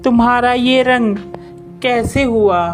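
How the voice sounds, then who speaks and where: Man putting on a high-pitched pleading female fox. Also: steady tone, slow pace, soft background music. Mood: friendly, eager. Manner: pleading, urgent.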